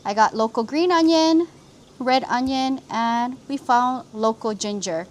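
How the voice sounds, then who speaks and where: A woman's voice: on the high side, a little slow, slight background noise. Upbeat woman from Hawaii, cooking vlog. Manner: upbeat, explanatory, walks through each step. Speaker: cooking channel host.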